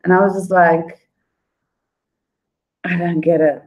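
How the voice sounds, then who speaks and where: A woman's voice: fairly low pitch, fairly even intonation, takes it slowly, a little mellow. A South African woman hosting a casual livestream and answering her viewers' comments. She talks in a rambling, conversational way, with fillers and restarts, and calls viewers by name. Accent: South African English. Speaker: livestream host reading and answering viewer chat. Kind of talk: casual unscripted livestream chat.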